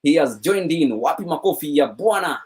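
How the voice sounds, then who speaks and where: A man's voice: fairly high pitch, expressive, fairly quick, darkish tone. Upbeat man hosting a live stream. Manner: upbeat, repeats words often, laughs. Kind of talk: casual chat.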